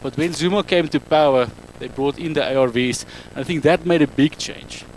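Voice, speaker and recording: A man in his thirties speaking English with an Afrikaans accent, giving a public talk on a handheld mic in a halting, self-correcting way. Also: a little high, a little hiss or hum, poor audio. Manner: halting, self-correcting non-native English with frequent ums. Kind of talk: public talk to a live audience.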